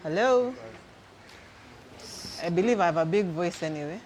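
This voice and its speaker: A middle-aged Kenyan woman, originally from Western Kenya, leads a youth session as a minister. She keeps it lively by quizzing the room. Her handheld microphone picks up the echo of a church hall with a faint hiss behind her. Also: lowish voice, normal speed. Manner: engaging, quizzes the audience, mixes in Swahili. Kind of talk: interactive teaching to a youth group. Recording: echoey hall with faint noise.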